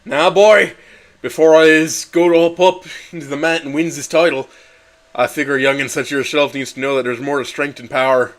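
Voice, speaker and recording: A man's voice: on the high side, fairly even intonation, fairly quick, fairly bright tone, lightly acted. A man voicing a big male Megalosaur boxer and proud father, with a put-on Irish accent, giving folksy advice. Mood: fatherly.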